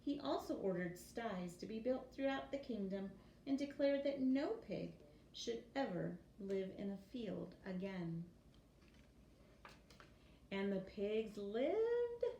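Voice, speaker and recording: A woman reading a children's story aloud in an expressive teacher's storytelling style. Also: mid-range voice, takes it slowly, creaky, pauses often. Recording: roomy echo with faint background noise.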